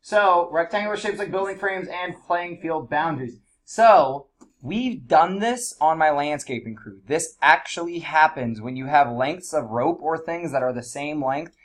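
Stuffy-nosed guy teaching math to a class, fairly high voice, chatty and loose. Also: unhurried, fairly bright tone. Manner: casual, chatty explaining with fillers. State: congested from allergies. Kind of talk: classroom lesson.

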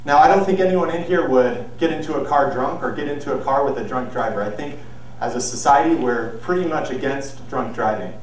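A young man giving an earnest, measured persuasive speech on an auditorium stage, heard from a far camera. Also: mid-range voice. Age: young adult. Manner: earnest, measured, with some restarts. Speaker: student speaker. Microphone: far camera, no visible mic. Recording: very echoey hall, distant mic, faint noise.

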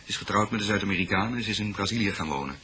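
Young male student, radio drama: informal, faltering, slightly low pitch, highly varied intonation. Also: expressive, very fast, bright, forceful tone. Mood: hesitant, chatty. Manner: hesitant, informal, faltering explanations. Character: hesitant, chatty young male university student. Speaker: actor in a police radio drama. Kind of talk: Dutch radio drama acting.

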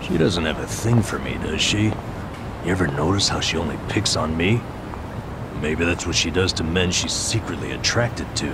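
A man's voice: sits low, expressive, normal speed. An actor playing a grumbly, easily flustered middle-aged man in a video game, with a defensive, grousing manner. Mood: grumbling. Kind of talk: English video game voice acting. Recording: noisy city street ambience with traffic.

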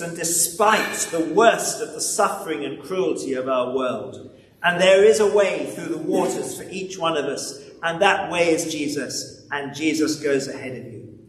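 A man in his seventies preaching a sermon in a solemn, measured, rhetorical way, pausing often. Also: a little high. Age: 70s. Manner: solemn, measured rhetorical delivery with pauses and repetition. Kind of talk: sermon with scripture reading. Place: large church interior, standing at a lectern. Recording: echoey hall, distant mic, background noise.

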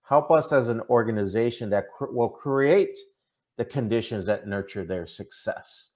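A man's voice: medium pitch, moderate pace, darkish tone. Middle-aged man explaining on a video call. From Minnesota, United States. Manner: earnest, often checks in with "right?". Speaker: interview guest, diversity consultant. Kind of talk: conversational interview answers. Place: indoor room, on a video call. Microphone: video call microphone.

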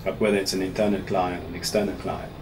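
A man from Switzerland in his thirties, a professor answering interview questions in a small office in a measured way, often restarting his words. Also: sits low, level tone. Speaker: actuarial professor being interviewed. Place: small office meeting room, seated at a table. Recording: room recording with audible air conditioning hum.

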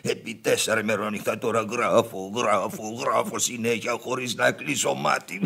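An actor playing an exhausted, irritable male bank clerk in a theatrical Greek radio play, complaining in a husky, gravelly voice. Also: medium pitch, steady tone, exaggerated voice. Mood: weary, feverish. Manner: muttering complaints that rise to sharp outbursts.

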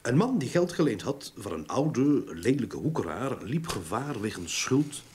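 Male radio drama role: enthusiastic explainer-detective, raspy-edged tone, very varied intonation, didactic. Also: medium pitch, very animated, a little slow, darkish tone, full character voice. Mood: playful, eager. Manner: eager, didactic, repeats words for emphasis. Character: talkative, enthusiastic male sleuth explaining his theories. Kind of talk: Dutch radio drama acting.

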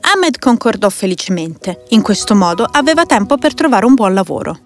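A woman's voice: mid-range voice, very animated, moderate pace. A woman narrating a scripted fairy tale for children as a storyteller. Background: faint music.

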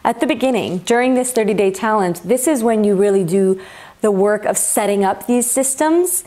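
A woman's voice: mid-range voice, moderate pace, mellow. A young woman talking to camera in her home kitchen on a lapel mic, chatty and upbeat as she shows her groceries. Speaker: healthy eating vlogger. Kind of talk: casual talk to camera. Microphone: clip-on lapel mic.

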